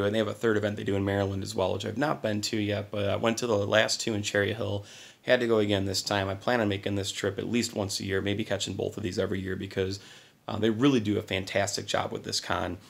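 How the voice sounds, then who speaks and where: Hoarse, gravelly-voiced man chatting keenly. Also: fairly low pitch. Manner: enthusiastic, talking a little quiet. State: hoarse from singing and little sleep. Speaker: channel host. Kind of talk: casual collector vlog.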